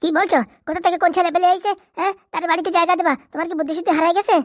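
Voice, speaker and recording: Woman doing a bossy village wife for a cartoon, super fast scolding, dry close sound. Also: extremely high pitch, fairly even intonation, dry recording. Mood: suspicious scolding. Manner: scolding, blunt. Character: sharp-tongued, suspicious, bossy village housewife. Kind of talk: comedy cartoon dialogue.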